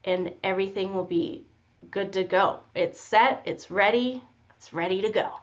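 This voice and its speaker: A woman's voice: medium pitch, normal speed, lo-fi sound. A woman co-hosting a library webinar, chiming in with playful, teasing questions and banter. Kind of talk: casual banter during a demo.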